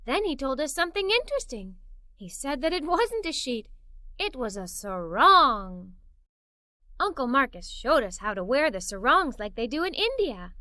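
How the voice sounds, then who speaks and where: A woman reading a children's story aloud in an animated, playful, emphatic way, as a young girl telling it. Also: high voice, moderate pace, no echo, studio quality. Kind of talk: expressive read-aloud storytelling in a young girl's first person.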